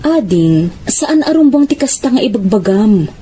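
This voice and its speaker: An actress with an Ilocano Filipino accent playing a kind, dutiful young elder sister in a radio drama. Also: female voice, medium pitch, very animated, normal speed, warm tone, husky. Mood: gently concerned.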